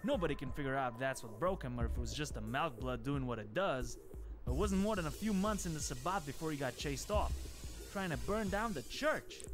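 An actor playing a cynical, streetwise vampire gangster in a video game, talking in tough, confiding slang. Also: male voice, a little high, animated, fairly quick, close to an everyday voice. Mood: wary. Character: streetwise cynical male vampire gangster, wary, foul-mouthed. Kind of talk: scripted video game dialogue. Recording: game music behind the voice.

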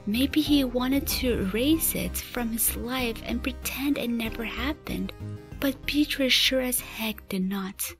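Female narrator for scripted fiction, higher-pitched voice, faint music bed. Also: takes it slowly.

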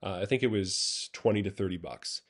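Male tutorial presenter, lowish voice, easygoing and hesitant delivery. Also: moderate pace. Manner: relaxed, hesitant, many fillers and restarts. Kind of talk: casual screen-recorded tech tutorial. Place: voice-over over screen recording.

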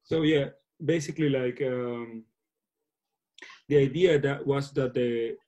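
Mellow-voiced man, halting English. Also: medium pitch, highs cut off. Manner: halting, searching for words. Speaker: filmmaker being interviewed. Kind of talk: casual Q&A on a video call. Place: small room at home. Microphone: laptop video call.

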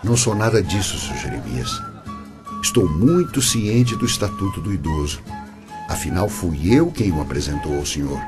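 Low, animated Brazilian angel of reflection. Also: male voice, normal speed, music in the background. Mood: wry, composed. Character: mysterious male angel of reflection, wry, impatient guide. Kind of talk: radio play acting.

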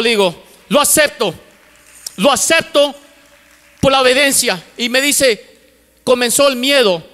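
A man's voice: very high-pitched, pushed and bright. A middle-aged male pastor preaching fervently on a church stage into a handheld mic, repeating phrases for emphasis, a native English speaker who mixes in English words. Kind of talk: sermon. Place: large church stage with lights. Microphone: handheld microphone. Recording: long hall reverb from church auditorium.

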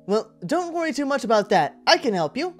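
A man voicing a calm, helpful schoolgirl accomplice, matter-of-fact, in a visual novel read-aloud. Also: extremely high pitch, a little fast, faint music behind. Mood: calm, caring.

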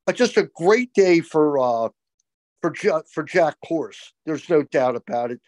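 An older man co-hosting a postgame lacrosse show over a video call, talking with emphatic, opinionated excitement. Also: fairly high pitch, a little slow, no echo. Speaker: co-host, college lacrosse analyst. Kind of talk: excited postgame sports talk. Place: small room indoors. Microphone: video call webcam.

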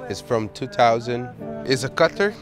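A man in his thirties with an Italian accent, a sailboat owner showing his boat in a casual tour, talking haltingly and searching for English words. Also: mid-range voice, animated. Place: outdoors on a sailboat deck and cabin. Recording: background music.

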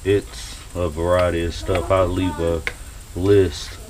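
Slow, deep-voiced man at a grill. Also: flat delivery. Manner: laid-back, drawling, talks to viewers as y'all. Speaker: home cook vlogger. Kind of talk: casual cooking vlog. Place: outdoors at a grill beside a house. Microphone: camera mounted above the grill. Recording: noisy outdoor camera audio.

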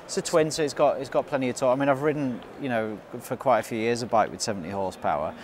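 A man in his thirties chats with animated, effusive enthusiasm as a co-host. His voice is mid-pitched for a man. Faint background noise from the show hall is heard. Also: quick pace. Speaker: co-presenter. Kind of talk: casual review chat. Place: indoor motorcycle exhibition hall.

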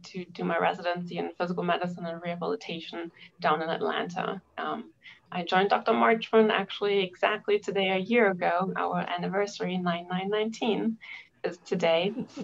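A woman physician from Germany introducing herself on a clinic panel, measured and full of "um" fillers. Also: on the low side, vocal fry, highs cut off.

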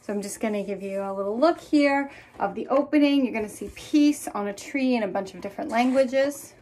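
A woman in her thirties with a fairly high voice presents and reads picture books for children. Her delivery is expressive and addresses the young listeners directly, recorded at arm's length in a small room. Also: fairly quick, slight background noise. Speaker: children's author reading aloud. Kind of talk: picture book read-aloud for children.

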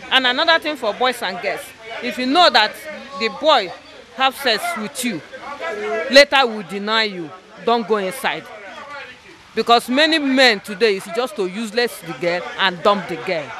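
A young woman answering a street interview outdoors into a handheld mic, earnest and halting, repeating her points. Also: mid-range voice, lively intonation, a crowd in the background. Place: outdoors on a roadside. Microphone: handheld mic held by interviewer.

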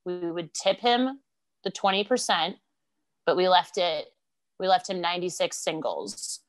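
A woman's voice: on the low side, unhurried, no echo. A woman with an American accent, a podcast guest chatting casually and laughing often as she tells stories. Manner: laughs often, animated storytelling. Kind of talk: casual chat.

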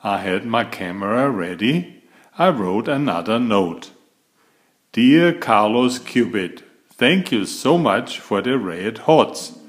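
A man reading a children's picture book aloud, raising his pitch for the girl's lines. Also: lively intonation, slow.

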